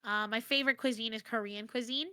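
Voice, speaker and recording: Bright, high-voiced American woman. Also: fairly even intonation, fast, clear, resonant tone, no echo, clean studio sound. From United States. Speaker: interview guest, streamer. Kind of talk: casual chat.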